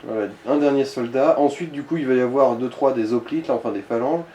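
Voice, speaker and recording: A man's voice: mid-range voice, a little fast, mellow, lo-fi sound. A man giving casual, crude-tongued co-commentary and advice during a video game let's play. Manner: informal, crude slang. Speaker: co-commentator advising the player. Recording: roomy echo, background noise.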